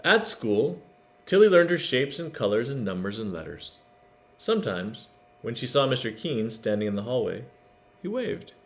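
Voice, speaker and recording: Male storybook reader, mid-pitched, very lively intonation, expressive and chatty with young listeners. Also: moderate pace. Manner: expressive, asks listeners questions. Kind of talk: read-aloud to children with chatty asides.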